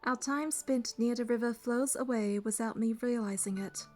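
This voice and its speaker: A woman's voice: fairly high pitch, normal speed, darkish tone, natural voice. A woman voicing a young romance game heroine, narrating and speaking in a composed, scripted way. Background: music.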